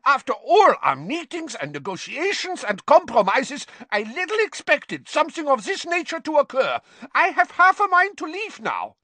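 A sly, volatile headmaster, performed by a male narrator, with a voice that swoops widely and often climbs high. He is cold, scheming and unctuous, a storybook schemer quick to take offence. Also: huge pitch swings, a bit bright, breathy edge, dry recording, full character voice. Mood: outraged. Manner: unctuous, turning explosively angry.

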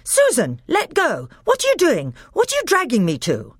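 A woman doing a brisk, practical eldest boy. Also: on the low side, wildly swooping pitch, no room sound, clean studio sound, voice pushed up. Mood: alarmed. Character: eldest schoolboy, steady and practical leader.

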